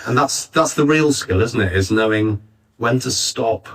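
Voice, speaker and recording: Male panel speaker, slightly rough-edged voice of medium pitch, articulate and anecdotal, captured at a distance. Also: a little slow. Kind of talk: panel discussion, anecdotal explaining. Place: arts centre venue, live panel. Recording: distant backup recording of live panel.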